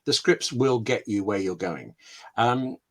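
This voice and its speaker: A man with a British accent talks as a scriptwriter being interviewed. He is conversational and discursive, leaning on key words and pausing for effect as he hands out practical advice. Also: lowish voice, expressive, a bit bright. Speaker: scriptwriter, webinar guest. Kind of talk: conversational interview answers, giving writing advice.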